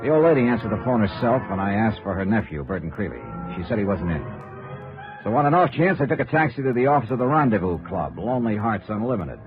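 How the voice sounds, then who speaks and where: A man's voice: fairly low pitch, big pitch swings, quick pace, music in the background. An American man playing a tough, shrewd insurance investigator in a 1950s radio noir drama, clipped and sardonic. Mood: terse narration. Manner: clipped, sardonic, hard-boiled. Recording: muffled, noisy 1950s radio recording.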